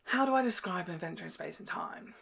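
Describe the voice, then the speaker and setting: A woman hosting a reaction show, chatting casually and explaining things in an animated, giggly way. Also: lowish voice, quick pace, vocal fry, a little hiss or hum.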